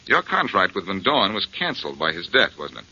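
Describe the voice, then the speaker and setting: An actor playing a brash, wisecracking detective who presses with sardonic questions in a 1940s radio drama. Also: male voice, sits low, huge pitch swings, fairly quick, bright, forceful tone, slightly rough, heavily acted. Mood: accusing. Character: brash, wisecracking male amateur detective, confident and needling. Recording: dull vintage 1940s radio recording.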